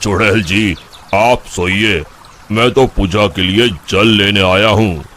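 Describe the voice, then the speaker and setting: A man voicing a calm, pious village priest in a cartoon, with a measured, polite, solemn manner. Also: fairly low pitch, slight background noise. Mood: polite, coaxing. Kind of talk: Hindi cartoon voice acting.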